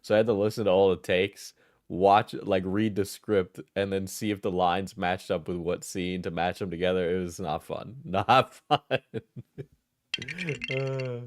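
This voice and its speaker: A man's voice: low-pitched, steady tone, unhurried, no room sound. A sleepy man livestreaming, chatting casually and rambling, laughing and swearing often. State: sleepy, just out of bed.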